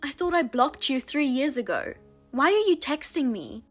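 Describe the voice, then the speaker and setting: A woman voicing a betrayed older sister who talks in a flat, cold, restrained way. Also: high-pitched, moderate pace, soft background music. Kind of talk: narrated drama voice-over.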